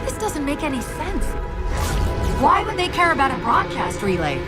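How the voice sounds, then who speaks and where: Game AI companion: high, quick-witted, urgent, emotive, over prominent score. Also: female voice, very animated, over loud music. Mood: brisk, puzzled. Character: quick-witted, urgent, loyal female artificial intelligence companion. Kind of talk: dramatic video game acting.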